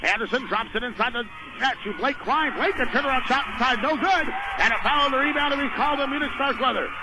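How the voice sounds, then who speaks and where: A man's voice: very high voice, fast. An American man doing live radio play-by-play of a basketball game in clipped, running phrases. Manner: clipped, running description of the action. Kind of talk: live radio sports commentary. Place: indoor basketball gym during a game. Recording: noisy live radio gym broadcast.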